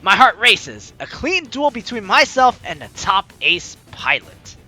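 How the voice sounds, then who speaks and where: Bright, animated woman gamer reading aloud. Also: mid-range voice, a little slow. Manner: excitable, chatty, dramatic reading. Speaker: gaming video host. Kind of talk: let's play commentary, reading game narration aloud. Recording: game music behind the voice. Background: game music.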